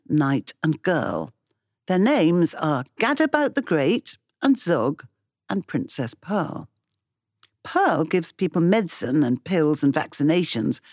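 A woman reading a rhyming picture book aloud in an animated, expressive storytelling way. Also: deep voice, no echo, clean studio sound.

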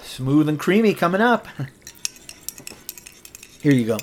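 Animated young male rock DJ. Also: on the high side, slow pace, slight background noise. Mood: awkward. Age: young adult. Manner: awkward, stammering, jokey. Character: nervous, eager rookie male late-night rock DJ. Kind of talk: horror audio drama acting.

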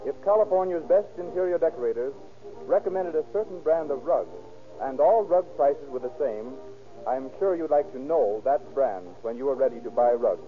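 A man's voice: a little high, animated, normal speed. An American man reading scripted announcements and narration in an emphatic 1930s radio announcer style. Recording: tinny 1930s radio recording, music behind.

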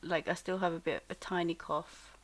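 A young British woman co-hosting a casual podcast chat, congested from a cold, chatty and quick to laugh. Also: fairly low pitch, fairly even intonation. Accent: British English. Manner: chatty, laughs often, quick back-and-forth. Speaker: podcast co-host.

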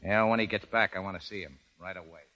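A man's voice: low-pitched, quick pace, bright, forceful tone. An actor playing a tough, suspicious gangster henchman, curt and slangy, in a 1930s radio drama. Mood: accusing, curt. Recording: old 1930s radio broadcast.

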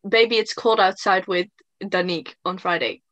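A woman's voice: mid-range voice, moderate pace, a bit bright, no echo, lo-fi sound. A woman chatting casually with a co-host about favorite songs, often giggling. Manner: giggly, breaks into singing lines. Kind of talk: casual fan chat.

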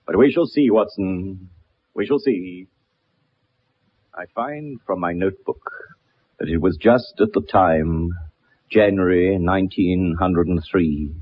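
A haughty British detective for radio drama, precise and dryly superior. His pitch moves widely and the voice is a little husky. The old recording is dull and lo-fi. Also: exaggerated voice. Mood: disapproving. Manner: pompous, precise, formal. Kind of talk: radio drama acting with first-person narration.

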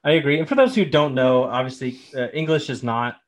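A man hosting a casual gaming chat, relaxed and full of fillers like "um" and "like". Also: mid-range voice, a little fast. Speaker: podcast host.

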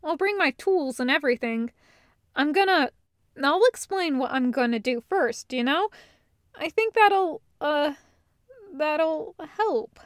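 Woman reading as hesitant, kindly graying man, lifted pitch. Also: animated, slow, dry recording, clean studio sound. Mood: relieved, earnest. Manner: hesitant, considerate, filler-heavy. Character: kindly, tentative, graying male friend.

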